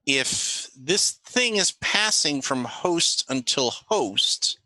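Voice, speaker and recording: A game master running a tabletop game in casual chat, halting and often repeating words while improvising. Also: mid-range voice, expressive, bright, forceful tone, no room sound.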